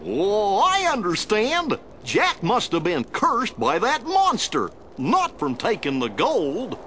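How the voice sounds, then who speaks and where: A man voicing a gentle, bumbling cartoon dog in a video game, folksy and good-natured, with an American accent. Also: high voice, huge pitch swings, slow, full character voice. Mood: puzzled, gentle. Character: goofy, gentle, slow-witted male cartoon dog. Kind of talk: cartoon game character acting. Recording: faint background noise.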